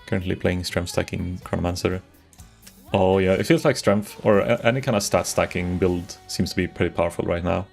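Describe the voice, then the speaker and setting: A man's voice: deep voice, fairly even intonation, fairly quick, creaky, darkish tone, soft background music. A Swedish man streaming a game, chatting casually and rambling to viewers. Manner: rambling, chatty, greets viewers, frequent restarts. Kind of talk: casual live-stream chat while gaming.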